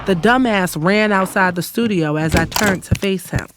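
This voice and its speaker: A woman's voice: mid-range voice, normal speed, loud music behind the voice, lightly acted. A woman playing a hurt, scorned lover in an audio drama, telling it in first person with emphatic, sarcastic delivery. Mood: bitter, stunned. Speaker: narrator and lead character in an audio drama.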